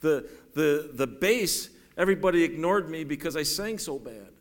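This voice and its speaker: A middle-aged man with a bass voice preaching a sermon in a folksy, self-deprecating way, rising to raised, urgent preaching. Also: animated, normal speed. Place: church sanctuary. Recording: long reverb in a large hall.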